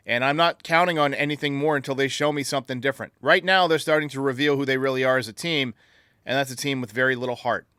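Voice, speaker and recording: A man's voice: mid-range voice, bright, forceful tone, smooth, dry recording, studio quality. A man hosting a sports podcast, giving opinionated, critical commentary in an emphatic manner. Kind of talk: sports commentary, answering listener voicemails.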